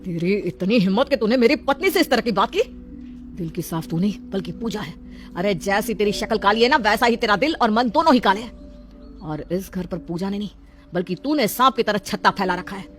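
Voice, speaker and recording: A woman voicing a hot-tempered husband in a moral story, loud, accusing and berating. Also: medium pitch, lively intonation, brisk, soft background music, lightly acted. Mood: angry, berating.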